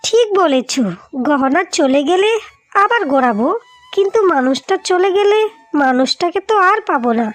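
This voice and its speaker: A woman voicing an earnest, practical wife in scripted cartoon dialogue, speaking deliberately. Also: high-pitched, lively intonation. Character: determined, practical young Bengali wives plotting to save home.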